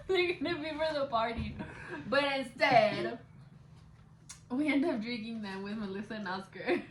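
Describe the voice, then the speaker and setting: A woman's voice: a little high, animated, measured pace, long pauses. Giggly young woman in an echoey room. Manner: code-switches between English and Spanish; blunt, swears freely. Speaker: vlogger chatting with her best friend. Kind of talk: casual chat. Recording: echoey room with background noise.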